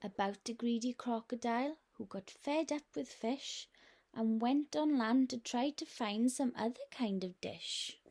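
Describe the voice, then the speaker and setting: A nursery teacher reading a picture book aloud to young children in a gentle, expressive way. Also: female voice, a little high, a little slow.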